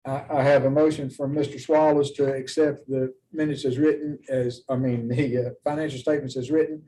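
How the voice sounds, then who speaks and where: A man's voice: mid-range voice, level tone, a little fast, warm tone. A man chairing a formal public meeting as commission chair, speaking in a courteous, procedural manner. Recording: distant mic, faint background noise.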